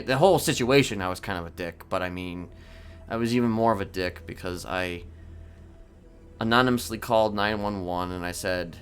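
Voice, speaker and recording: A man playing a casually sadistic criminal in an online roleplay, talking in a halting, rambling, matter-of-fact way with casual swearing. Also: on the low side, close to an everyday voice. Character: rambling, casually sadistic male criminal confessing his violent deeds. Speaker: streamer role-playing a character. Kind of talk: improvised in-character roleplay dialogue. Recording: clear background noise.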